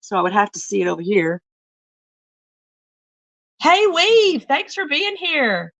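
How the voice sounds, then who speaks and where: A woman hosting a cooking livestream from her home kitchen, chatting to viewers in a rambling way while she works, her voice a little lower than usual from a cough. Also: big pitch swings, slow pace, gravelly, a bit bright, dry recording. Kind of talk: casual livestream chat while baking. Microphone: phone mic.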